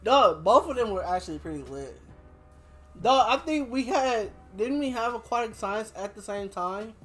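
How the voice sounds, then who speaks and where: A young man chatting casually as a podcast host, telling anecdotes in a slangy, animated way. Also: sits high, normal speed, faint music behind. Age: young adult. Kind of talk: casual chat, storytelling.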